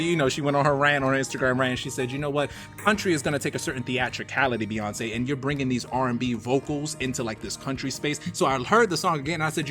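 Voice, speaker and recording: An American man hosting a live show with slangy, animated banter that can break into sing-song. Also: medium pitch. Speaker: radio show host. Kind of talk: lively hot-topics banter on a live show.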